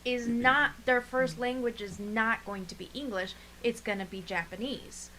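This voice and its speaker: A woman with a fairly high voice talks as a podcast co-host. She speaks in an informal, opinion-sharing style, and the recording carries faint background noise. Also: steady tone, a little slow, sharp tone, poor audio. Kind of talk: casual chat reviewing an anime.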